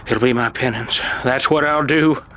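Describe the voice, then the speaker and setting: A man playing an irascible, desperate old uncle in an audio drama, urgent and halting as he insists on his truth. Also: mid-range voice, a bit bright, natural voice. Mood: anxious regret. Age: older. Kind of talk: dramatized audio fiction acting.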